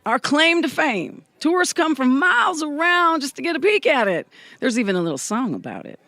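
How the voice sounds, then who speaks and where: A woman's voice: high voice, very animated, a little fast, sharp tone, no room sound, heavily acted. A woman playing a feisty, charming country diner owner in a comedic audio drama. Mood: showy.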